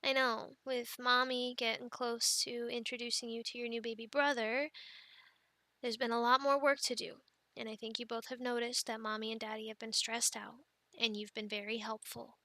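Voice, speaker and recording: Bright, high-voiced affectionate young mom, acted by a woman. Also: little pitch movement, no echo. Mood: tender, grateful. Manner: gentle, halting, soft-spoken with playful bursts. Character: affectionate, playful pregnant young mother playing with her children. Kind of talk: ASMR family roleplay.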